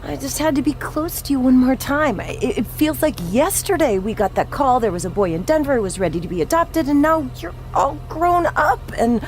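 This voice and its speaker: A woman's voice: sits high, expressive, brisk, hardly any pauses, faint music behind. An actress playing a clingy, sentimental middle-aged mother in a video game cutscene. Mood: clingy, tender.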